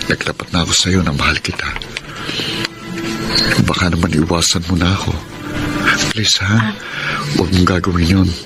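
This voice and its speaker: An actor as a shy Filipino man in love, in a radio drama. His voice sits low and he speaks haltingly and earnestly. Music plays clearly underneath. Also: steady tone, natural voice. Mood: nervous, pleading. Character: shy, lovestruck Filipino man living in Rome. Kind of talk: Tagalog radio romance drama acting.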